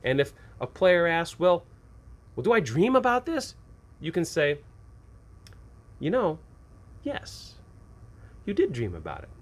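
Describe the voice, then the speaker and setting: A man's voice: a little high, huge pitch swings, unhurried, pauses often. A man hosting an advice show for game masters, talking casually and unscripted, often posing questions and answering them himself.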